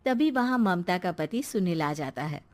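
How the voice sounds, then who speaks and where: A woman's voice: fairly low pitch, expressive, moderate pace. A woman narrating a scripted family moral story as its storyteller.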